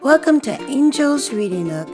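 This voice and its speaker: A woman reading a children's picture book aloud in an expressive, deliberate, sing-song way for young listeners. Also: high voice, soft background music. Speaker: storybook reader and host of a read-aloud channel.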